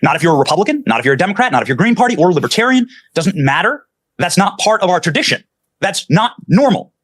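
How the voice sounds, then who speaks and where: A man's voice: high voice, fairly bright tone, breathy edge. A man arguing on a debate panel, emphatic and combative, pushing on through interruptions. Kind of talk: heated televised panel debate.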